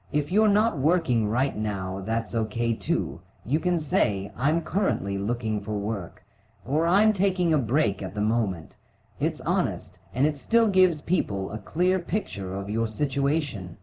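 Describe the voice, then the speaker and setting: A British woman with a very deep, mellow voice narrates a scripted language lesson. She speaks in measured, clearly phrased sentences with an encouraging manner. Some background noise sits behind her voice. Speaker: English teacher.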